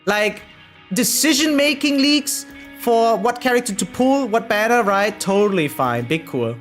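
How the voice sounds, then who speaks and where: A woman streams games with casual, opinionated commentary. Her pitch moves in big, animated swings as she makes emphatic points in informal, slangy language. Soft game music plays behind her. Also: unhurried. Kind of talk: casual livestream commentary and reaction.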